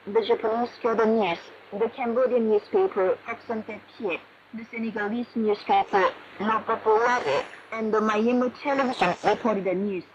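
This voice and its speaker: A woman's voice: fairly high pitch, steady tone, moderate pace, muffled recording. Woman newsreader on noisy shortwave radio. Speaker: radio news announcer. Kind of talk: formal scripted news bulletin reading. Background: shortwave static and noise.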